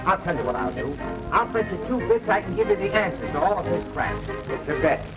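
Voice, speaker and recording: An actor playing a flippant, gag-cracking joker in a 1930s film comedy. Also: male voice, sits high, big pitch swings, fairly quick. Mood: boastful. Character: wisecracking small-town joker and would-be radio announcer. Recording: dull 1930s film soundtrack, music behind.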